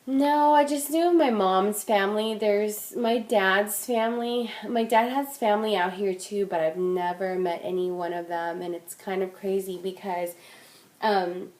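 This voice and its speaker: A woman's voice: medium pitch, steady tone, measured pace, darkish tone. Casual young woman in a roomy room. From Philippines. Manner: casual, chatty, with fillers like "um" and "like". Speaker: interviewee. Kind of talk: oral history interview. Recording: roomy echo.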